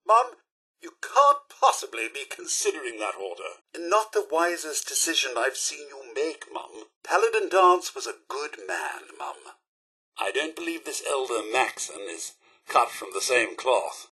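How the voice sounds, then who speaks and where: A man's voice: medium pitch, pushed and bright, slightly rough, full character voice. An actor playing a prim, fussy male robot butler for a video game, with a posh British accent and a theatrical, politely sardonic manner. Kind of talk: video game companion voice lines.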